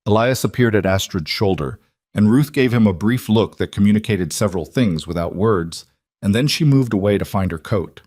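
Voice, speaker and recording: A man reading a dramatic western story as scripted narration, measured and deliberate in his delivery. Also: on the low side, animated, darkish tone, no room sound, clean studio sound. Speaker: audiobook-style story narrator.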